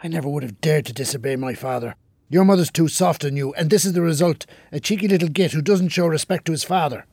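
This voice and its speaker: A man acting in an audio drama as a stern, scornful working father, hectoring and sarcastic in a husky voice. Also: on the high side, quick pace, darkish tone, dry recording. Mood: angry, scolding. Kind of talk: naturalistic radio drama acting.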